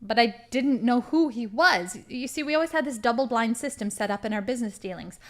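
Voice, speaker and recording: A woman's voice: on the high side, expressive, brisk, lightly acted. A woman acting as a boastful, theatrical villain, a cheerfully murderous crime boss in a comedy audio drama. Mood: menacing. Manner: gleeful, boastful, dramatic monologuing.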